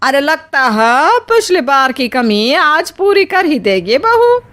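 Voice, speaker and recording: A woman's voice: high-pitched, big pitch swings, slow pace, fairly bright tone, exaggerated voice. A woman playing an encouraging, protective older mother-in-law in a cartoon story, coaxing and emphatic. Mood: good-natured. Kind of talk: cartoon moral story character acting.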